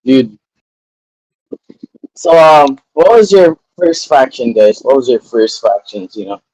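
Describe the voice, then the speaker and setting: A young man from Canada chats casually on a gaming podcast. He often repeats his phrases, and his voice swings widely in pitch. The sound is dry and close. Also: takes it slowly, dry recording, poor audio. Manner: animated, repeats himself. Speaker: podcast co-host. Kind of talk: casual chat.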